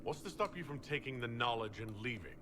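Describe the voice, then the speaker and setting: An actor playing an earnest fantasy game hero and leader, direct, probing and serious. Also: male voice, medium pitch, animated, brisk, slight background noise, lightly acted. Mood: challenging.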